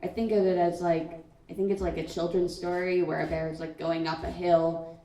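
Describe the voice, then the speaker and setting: A young woman speaks thoughtfully at a school book launch, often pausing with "um" and "like". Her lowish, gravelly voice sounds mellow and sits at a distance from the mic. The hall around her rings with echo. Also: level tone. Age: teens. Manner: thoughtful, many "um" and "like" fillers. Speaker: student writer on a panel. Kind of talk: reflective panel answer. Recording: echoey room, far from mic.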